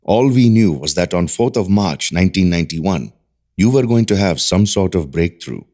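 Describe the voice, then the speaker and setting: Deep-voiced, grave male doctor. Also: expressive, moderate pace, mellow. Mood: grave, explaining. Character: grave, secretive older male research doctor. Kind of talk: audio drama acting.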